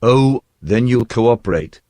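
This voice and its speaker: A man's voice: mid-range voice, expressive, measured pace, warm tone, no room sound, close to an everyday voice. A man playing a nervous, obsequious store underling with a hesitant, fawning manner in a radio drama. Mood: ingratiating. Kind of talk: old radio drama acting.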